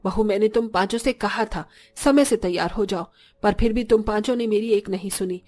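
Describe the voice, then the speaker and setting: Woman as a grumbling village mother-in-law, mid-pitched. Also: moderate pace, warm tone, close to an everyday voice. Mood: annoyed. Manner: complaining, plain-spoken. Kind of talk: scripted Hindi moral story voice acting.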